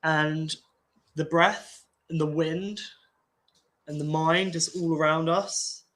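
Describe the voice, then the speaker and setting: A man, nasal and congested, talking in a slow, halting way with many restarts and fillers. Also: a little high, fairly even intonation, fairly bright tone. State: congested from an infection. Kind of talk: casual rambling talk.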